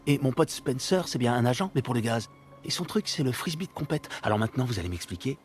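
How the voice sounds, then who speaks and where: A man playing an affable, skeptical small-town policeman in a film dub, with a casual, dryly sarcastic delivery. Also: on the low side. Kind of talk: French film fan dub acting.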